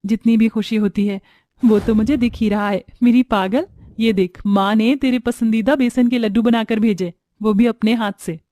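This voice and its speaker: A woman's voice: a little high, fairly even intonation, moderate pace, warm tone, no echo, natural voice. Modest, sweet young woman, played by a female narrator. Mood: affectionate.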